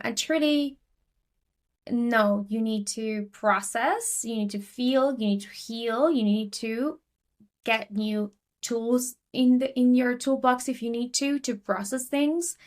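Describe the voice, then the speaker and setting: Argentinian-accented woman guest, loose chatty delivery, higher pitch. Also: a little slow. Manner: chatty, many fillers and restarts. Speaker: podcast guest. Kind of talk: casual interview talk.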